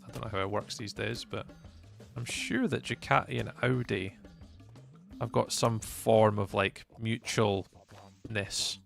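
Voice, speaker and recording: A man's voice: lowish voice, steady tone, slow pace, creaky, a bit bright, soft background music. A Scottish man streaming live, chatting casually with his viewers in a rambling way, full of fillers and restarts. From Scotland. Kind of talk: casual chat with live chat while gaming.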